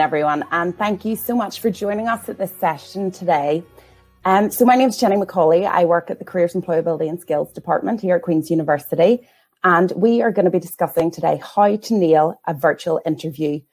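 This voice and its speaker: A woman hosts a careers webinar and politely calls on each panelist in turn. Her voice sits a little low for a woman, and she keeps a brisk, friendly pace. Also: a little mellow, faint music behind. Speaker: host, careers adviser.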